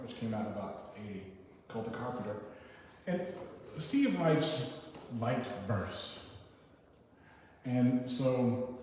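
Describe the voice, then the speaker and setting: A man giving a live poetry reading, with informal, halting, self-deprecating commentary between poems. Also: mid-range voice, dark tone. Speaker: reader at a poetry event. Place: echoey hall at a live event. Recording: noisy, echoey hall, distant mic.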